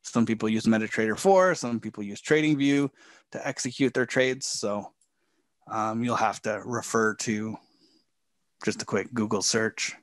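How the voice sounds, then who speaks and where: A man coaching a live trading session from a home office, talking in a relaxed way with many fillers, a bit hoarse and often clearing his throat. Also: on the low side, a little slow, no room sound. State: losing his voice.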